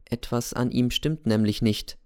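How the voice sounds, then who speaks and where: A man's voice: medium pitch, measured pace, natural voice. A man reading aloud, voicing a worried landlady in his own plain register. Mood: anxious. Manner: anxious, hushed. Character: worried middle-aged landlady.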